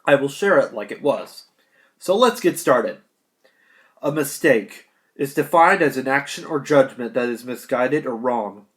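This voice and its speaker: A man's voice: medium pitch, expressive, measured pace, fairly bright tone. A young American man delivering a prepared graduation speech in an earnest, declamatory manner, with emphatic short phrases. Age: young adult. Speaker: graduating high school student giving a speech.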